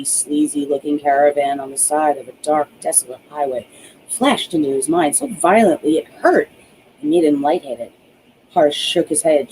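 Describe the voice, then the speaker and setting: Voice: mid-range voice, dark tone, slight background noise, rough recording. An author reading their own novel aloud as scripted audiobook narration.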